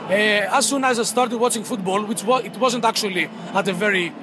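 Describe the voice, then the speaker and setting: A man's voice: sits high, fairly quick, noisy background. A man in his thirties from Greece, talking in a casual interview outdoors and hesitating with many fillers and restarts. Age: 30s. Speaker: interviewee, football fan club member.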